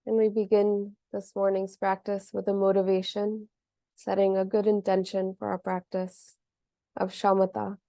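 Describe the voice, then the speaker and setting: A woman leading a guided meditation as a teacher, speaking gently and in a measured way with long pauses. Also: medium pitch, flat delivery, vocal fry, no echo.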